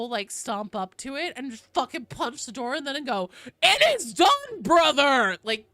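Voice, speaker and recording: A woman's voice: on the high side, pushed and bright, no room sound. A woman game streamer chatting casually with viewers, informal and sweary, reading and answering their comments as she goes. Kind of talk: casual chat with viewers while gaming. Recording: faint background noise.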